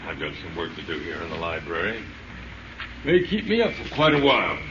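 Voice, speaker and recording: An actor playing a weary, haunted judge in a dramatic 1930s radio style. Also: male voice, deep voice, wildly swooping pitch, brisk, distant mic, full character voice. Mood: weary brooding. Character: weary, haunted male judge gripped by fear. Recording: echoey, muffled, noisy 1930s radio recording.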